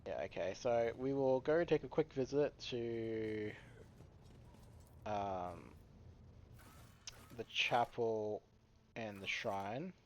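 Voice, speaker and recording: A man's voice: lowish voice, slow pace, long pauses. A man hosting a let's play, commenting casually and reading dialogue options aloud. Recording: slightly dull mic with faint noise.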